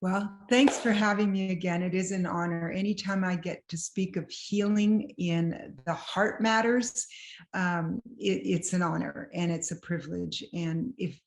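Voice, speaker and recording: A woman's voice: fairly low pitch, fairly even intonation, unhurried, vocal fry, echoey room. A woman from Belgium, a marriage counselor, earnestly telling her faith story in an interview. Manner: earnest, reflective, varied pace. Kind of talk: personal testimony interview.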